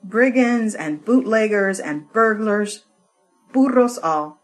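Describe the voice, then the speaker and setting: A woman giving an expressive poetry reading, with deliberate, measured phrasing and pauses. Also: mid-range voice, rough recording. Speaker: poetry reader.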